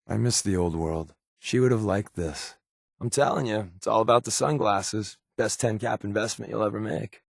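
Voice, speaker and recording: Sarcastic spy buddy from a game, secretive, deadpan jokes but pitch moves around a lot, really dry sound. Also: male voice, no room sound. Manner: casual, sardonic, deadpan quips. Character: wry, wisecracking, secretive male spy companion, paranoid. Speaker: video game voice actor. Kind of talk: game companion dialogue lines.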